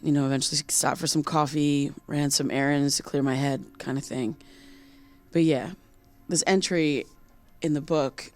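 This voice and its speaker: An anxious, hesitant low-voiced young woman. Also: darkish tone. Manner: hesitant, halting, self-conscious. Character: anxious young woman confiding a haunting dream, apologetic, rattled. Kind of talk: naturalistic audio drama acting with confessional narration.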